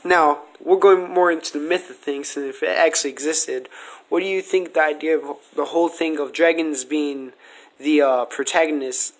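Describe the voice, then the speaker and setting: Man, slightly high pitch, podcast host, casual question-and-answer delivery. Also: fairly even intonation, moderate pace, poor audio.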